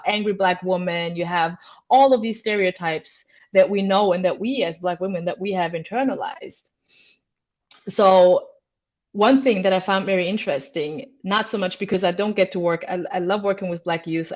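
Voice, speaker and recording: A woman's voice: on the low side, lo-fi sound. A woman from Austria, a guest coach on a video call panel, speaking earnestly and reflectively, thinking aloud with restarts.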